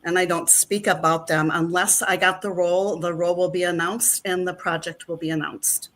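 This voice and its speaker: A woman's voice: lowish voice, level tone, normal speed, a bit bright. A middle-aged woman chatting as an interview guest, rambling with plenty of fillers. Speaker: actress and guest. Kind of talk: casual interview chat.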